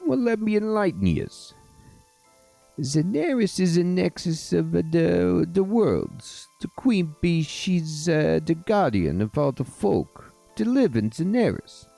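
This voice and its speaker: A man voicing a streetwise, chummy male fairy in a video game, with an exaggerated mock Italian accent and a halting, theatrical delivery. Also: high-pitched, sing-song, vocal fry, mellow, soft background music, voice pushed up, exaggerated voice. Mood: confiding.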